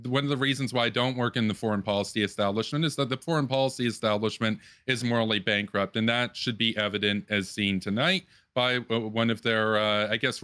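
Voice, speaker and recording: A man's voice: fairly low pitch, pushed and bright. A man giving an emphatic closing statement in an online political debate, repeating phrases to press his point.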